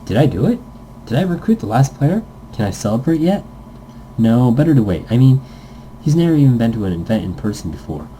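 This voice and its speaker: A man's voice: medium pitch, big pitch swings, a little fast, warm tone, slight background noise, low-quality recording. A man hosting a let's-play, reading a visual novel aloud and adding playful, goofy improvised asides in a casual gaming commentary style.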